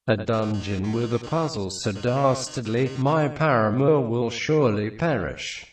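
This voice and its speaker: A lovelorn robot thespian, acted by a man, declaims in an overwrought mock-opera manner for a video game. The delivery is very slow and dramatic, echoing from a distance over prominent music. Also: medium pitch, voice pushed down. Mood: mock horror.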